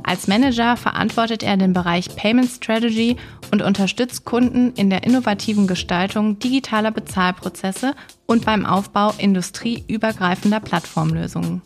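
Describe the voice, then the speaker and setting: A woman hosting an interview podcast, introducing and questioning a guest. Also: mid-range voice. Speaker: podcast host.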